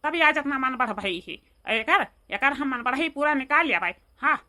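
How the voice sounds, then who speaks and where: A woman's voice: sits high, lively intonation, normal speed, bright, forceful tone, heavily acted. A woman voicing a cantankerous, bossy old village mother-in-law with an Awadhi accent in a comedy cartoon, nagging and scolding indignantly. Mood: threatening nagging. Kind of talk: Hindi dialect comedy cartoon dialogue.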